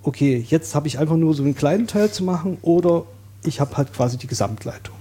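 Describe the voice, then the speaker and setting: A man from Saxony, a guest on an interview podcast, explaining his views in a thoughtful, measured way. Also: on the high side, warm tone, husky. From Saxony, Germany.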